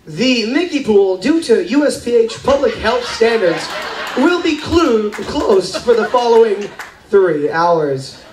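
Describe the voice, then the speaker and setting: A man playing an officious cruise director giving a formal, halting announcement in a live radio play. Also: high-pitched, sing-song, noisy background, exaggerated voice. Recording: echoey, distant, music behind.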